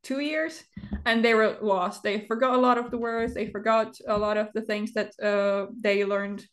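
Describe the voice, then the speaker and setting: A woman, an English speaker, talking as an interview guest, often pausing and searching for words with fillers. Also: medium pitch, steady tone, a bit bright. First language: Czech. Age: 20s. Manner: hesitant, pauses to find words, frequent fillers. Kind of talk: casual interview chat.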